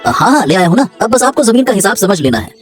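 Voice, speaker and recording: A woman's voice: medium pitch, sing-song, quick pace, faint music behind, natural voice. A woman voicing an obsequious young male henchman in a Hindi moral-story cartoon. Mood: fawning.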